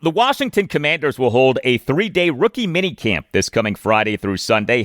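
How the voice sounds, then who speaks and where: A man with a lowish voice presents a sports podcast. He reads his script in an emphatic, deliberate announcer style. The sound is dry, with very little room. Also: fairly bright tone, no echo.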